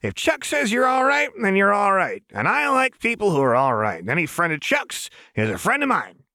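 A man's voice: high-pitched, huge pitch swings, normal speed, sharp tone, dry recording, studio quality, voice pushed up, full character voice. A man voicing a manic, playful, menacing woman in an audiobook, brash and abrupt, swinging from chummy to threatening. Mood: chummy, pushy. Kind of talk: audiobook character voice.

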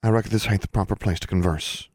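A man voicing a gentle, anxious teenage country girl in an audiobook, with a rural Southern US accent and homespun, old-fashioned dialect. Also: fairly low pitch, fairly even intonation, fast talker, no echo, close to an everyday voice. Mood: hesitant. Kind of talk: audiobook character dialogue.

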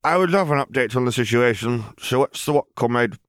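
A man's voice: medium pitch, expressive, a little fast, fairly bright tone, no room sound, studio quality, voice pushed up, lightly acted. A man voicing a breezy young girl who plays at being a crime boss, in a casual, playfully grandiose manner.

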